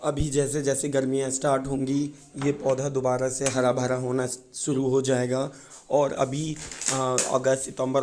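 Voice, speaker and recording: A man with a hoarse, sore-throated voice, explaining things informally and a little haltingly as a gardening video presenter. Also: mid-range voice, a little mellow. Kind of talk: informal explanatory talk to viewers.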